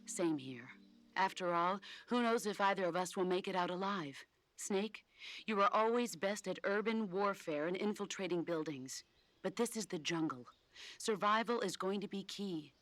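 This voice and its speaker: An actress playing a composed, solemn female war-hero mentor in a video game, measured and authoritative. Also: medium pitch, animated, a bit bright, dry recording, natural voice. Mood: fond, serious. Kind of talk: video game cutscene acting.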